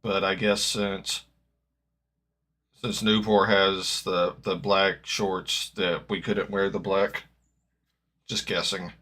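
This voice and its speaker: A man with a slightly gravelly voice, chatting casually as he reacts live to a football match, rambling and correcting himself as he goes. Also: low voice, little pitch movement, slow pace, pauses often, pushed and bright. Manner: rambling, self-correcting, hopeful fan. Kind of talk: casual live-stream chat.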